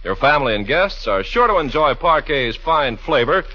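American man, radio announcer, bright husky voice, polished sales read, 1940s style, muffled recording. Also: on the high side, sing-song, normal speed. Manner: polished, selling.

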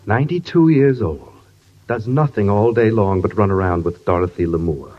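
Man playing a lowish-voiced grumbling sound man. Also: moderate pace, natural voice. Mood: sardonic, aggrieved. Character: aggrieved, sardonic radio sound-effects man. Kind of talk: 1940s radio comedy acting. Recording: muffled old radio recording, some noise.

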